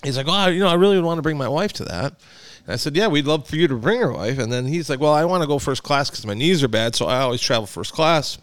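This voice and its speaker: A man's voice: on the high side, animated, fast talker, no room sound. A man in his forties hosting a podcast, rambling in casual chat with fillers and restarts. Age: 40s. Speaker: podcast host.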